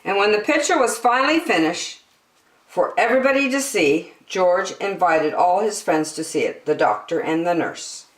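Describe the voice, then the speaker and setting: A woman reading a children's picture book aloud in an expressive, dramatic storytelling style, in an echoey room. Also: on the low side. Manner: dramatic, with emphatic slowed phrases.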